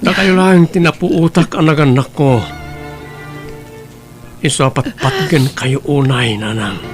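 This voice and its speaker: An actor playing a grown son in an Ilocano drama, pleading slowly and emotionally with his mother in remorse. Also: medium pitch, natural voice. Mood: remorseful. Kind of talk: dramatic first-person monologue in an Ilocano radio drama. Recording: music under the voice.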